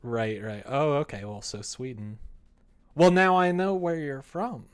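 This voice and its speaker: A young adult man chatting casually on a livestream as he works, muttering to himself and then growing animated. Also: mid-range voice, measured pace, clear, resonant tone. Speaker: livestreamer developing a visual novel. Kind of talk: casual livestream chat while working.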